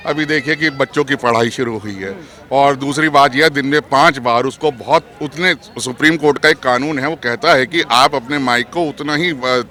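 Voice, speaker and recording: A man's voice: mid-range voice, moderate pace, bright, forceful tone. A man giving argumentative political opinion in an interview, emphatic and rhetorical, mixing in English terms.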